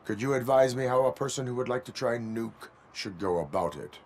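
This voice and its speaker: A man playing a stoic cyborg police officer in a video game, speaking in a formal, measured, robotic deadpan. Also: medium pitch. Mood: calm, formal.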